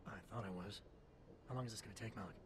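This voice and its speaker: An actor playing a terse, wary, stubbornly dutiful security chief in a video game, blunt and suspicious. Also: male voice, on the low side, quick pace, background noise, close to an everyday voice.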